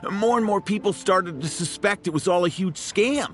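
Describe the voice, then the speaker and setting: A man's voice: sits high, normal speed, over music, lightly acted. A man playing a rueful, humbled bankrupt merchant in a video game, speaking in an emphatic, plaintive way. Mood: distressed.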